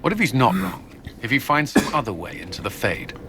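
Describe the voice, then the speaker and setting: An actor playing a cautious, sober military advisor in a fantasy video game. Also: male voice, mid-range voice, big pitch swings, fairly quick, sharp tone, a little hiss or hum. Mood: wary. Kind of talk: dramatic video game acting.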